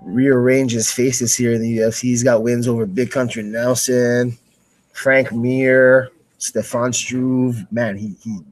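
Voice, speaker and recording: Male co-host for casual fight commentary, mid-pitched, slangy, with frequent stammered restarts. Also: a little mellow, low-quality recording. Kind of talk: casual chat and live sports commentary.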